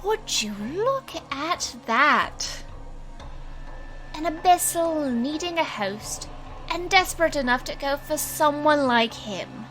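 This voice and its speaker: A woman's voice: sits high, lively intonation, slow pace, fairly bright tone, soft background music, full character voice. A woman playing a defiant, sardonic young stage magician, telling her story in a dry, controlled way in an audio drama. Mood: defiant, scornful.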